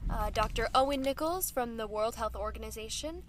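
A woman's voice: a little high, fairly quick, a bit bright, slight background noise, natural voice. A woman playing a composed news anchor with a polite, professional broadcast delivery. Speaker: broadcast reporter.

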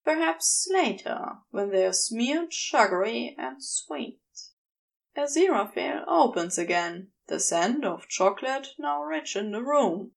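A woman reading a piece of fiction in a measured, deliberate, intimate storytelling style. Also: fairly high pitch, vocal fry. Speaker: amateur audiobook narrator.

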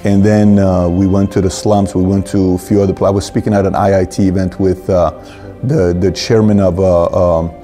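Male interview host, low and mellow, conversational and slightly halting, faint music underneath. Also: flat delivery. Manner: halting, repeats words while forming questions.

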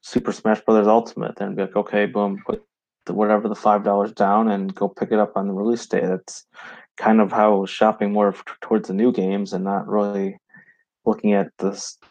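A man's voice: on the low side, little pitch movement, brisk, darkish tone, rough recording. A man with an American accent chatting casually as a podcast co-host, in a hesitant, easygoing way. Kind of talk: casual chat.

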